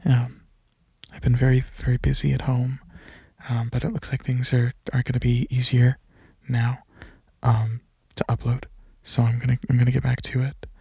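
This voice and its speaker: A man speaking softly and breathily in a relaxed, hesitant ASMR ramble, partly whispering, into a microphone held close. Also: mid-range voice, normal speed, vocal fry, husky, no echo, highs cut off. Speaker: ASMR video creator. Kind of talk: casual rambling ASMR, softly spoken to listeners. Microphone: microphone held close at chest, leaning back.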